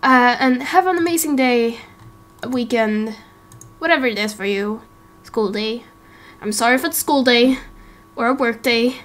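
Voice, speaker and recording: A woman's voice: on the high side, measured pace, fairly bright tone. A woman chatting casually and unscripted to her viewers as a gaming creator, hesitant, apologetic and tired.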